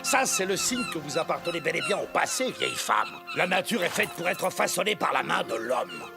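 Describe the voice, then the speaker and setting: A man's voice: high voice, huge pitch swings, brisk, gravelly, over loud music, full character voice. A man playing a smug, scheming businessman in a cartoon, speaking in a suave, condescending way. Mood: disdainful. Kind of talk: animated cartoon voice acting.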